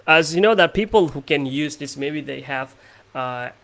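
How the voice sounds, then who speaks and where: A man in his twenties from Indonesia, hosting English speaking practice in a relaxed tone with lots of fillers. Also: fairly high pitch, moderate pace, creaky. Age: 20s. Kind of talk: casual conversation practice.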